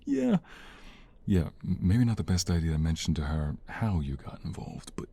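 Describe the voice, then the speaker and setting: A man playing a tender, devoted mobster husband in an ASMR romance roleplay, speaking in a hushed, earnest, husky, gravelly voice. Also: deep voice, huge pitch swings, full character voice. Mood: overwhelmed, relieved. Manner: earnest, sentimental, hushed, unhurried.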